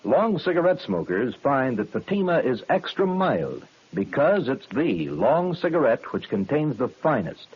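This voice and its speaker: A man's voice: medium pitch, sing-song, measured pace, husky. A man reading a 1950s radio advertisement with a polished, emphatic sales delivery. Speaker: commercial announcer. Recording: muffled, noisy old radio broadcast.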